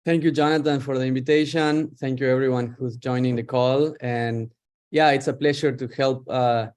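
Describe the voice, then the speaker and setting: A man's voice: mid-range voice, fairly even intonation, normal speed, a little mellow. Middle-aged man, reflective. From Argentina. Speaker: guest, startup founder. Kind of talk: interview on a video call.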